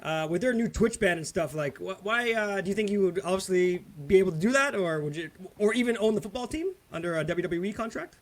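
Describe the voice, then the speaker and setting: A man hosting a fan video call, speaking haltingly with many fillers. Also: high voice, expressive. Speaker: host.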